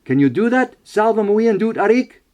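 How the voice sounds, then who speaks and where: A man playing a gentle uncle coaxing a young boy, with a South Sudanese Dinka accent, in an audiobook. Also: high voice, lively intonation, brisk, voice pushed up. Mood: urging. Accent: South Sudanese, Dinka (coached).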